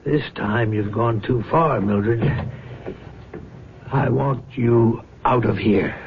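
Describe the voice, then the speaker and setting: An actor playing a weary but stubborn older husband, halting and dry, in a radio drama. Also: male voice, medium pitch. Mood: firm, bitter. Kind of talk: 1970s radio drama acting. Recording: dull, hissy old radio recording.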